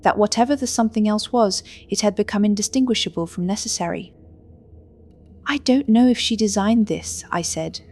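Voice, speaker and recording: A woman's voice: medium pitch, normal speed, darkish tone. A woman narrating a scripted first-person horror story, reading the prose and dialogue.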